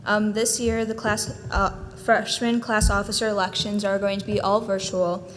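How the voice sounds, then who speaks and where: A teenage girl reads a short, matter-of-fact update at a school board meeting. Her voice sits at a mid pitch and carries a long room reverb. A faint rumble runs underneath. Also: flat delivery. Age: late teens. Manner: brisk and matter-of-fact, some "um" fillers. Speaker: student association treasurer. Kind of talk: brief formal report.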